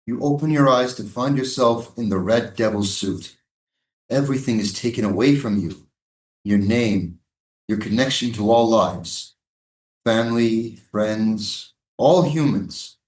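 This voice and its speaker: Bitter male monologue role, read by a man: declamatory, brooding, medium pitch, distant with room echo. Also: close to an everyday voice. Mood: bitter, emphatic. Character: bitter man brooding over shackled prisoners. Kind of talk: dramatic monologue in staged play reading. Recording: echoey room, off microphone.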